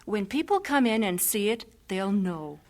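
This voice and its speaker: An actress playing a young missionary wife in a radio drama, earnest and expressive. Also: female voice, mid-range voice, normal speed, close to an everyday voice. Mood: hopeful. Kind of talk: 1950s-style radio drama acting.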